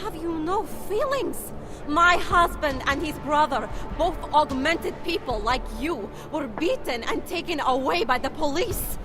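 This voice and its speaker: A wounded, embittered wife from a video game. Her voice sits high, accusing and pleading in her distress, with music clearly behind it. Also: lively intonation, unhurried. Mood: distraught, pleading. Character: bitter, distraught wife of an arrested man. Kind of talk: video game cutscene acting.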